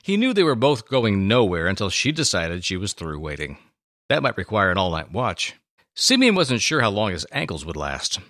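A man narrating a scripted dramatic story with deliberate phrasing and dramatic pauses. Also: fairly low pitch, a bit bright, no room sound, clean studio sound.

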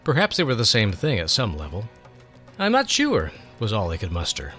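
Male narrator for dramatic audio storytelling, very expressive range, theatrical, music bed. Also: lowish voice, fast. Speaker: host and storyteller.

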